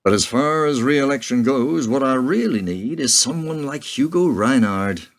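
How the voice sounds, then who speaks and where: Man acting pragmatic president with lively, shifting intonation. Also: medium pitch, moderate pace, lightly acted. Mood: wry, businesslike. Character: male US President, pragmatic, questioning, politically calculating. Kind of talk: radio play acting.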